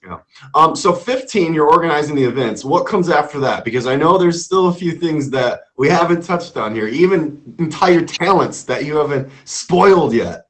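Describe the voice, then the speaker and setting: A man's voice: fairly high pitch, animated, moderate pace, a bit bright. A man hosting an interview show, asking questions in a playful, joking way. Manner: upbeat, playful, jokey. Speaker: host. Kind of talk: casual interview.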